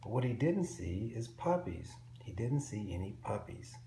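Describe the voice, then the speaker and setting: A man reading a picture book aloud to young children in an expressive, simple storytelling way. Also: lowish voice, moderate pace. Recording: roomy echo with faint background noise.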